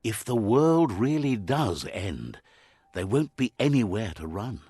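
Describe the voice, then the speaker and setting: A man playing the wry, sage narrator of an animal fable game, telling it in a measured, philosophical storybook style. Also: on the low side, big pitch swings, mellow, slightly rough, dry recording, exaggerated voice. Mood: reflective, sage.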